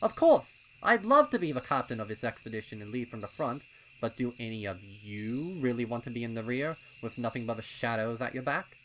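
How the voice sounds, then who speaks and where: A man's voice: medium pitch, big pitch swings, fairly quick, noisy background, close to an everyday voice. A man voicing a young colt adventurer, an eager and confident little planner, in a story reading. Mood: bold. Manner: colloquial, eager explaining.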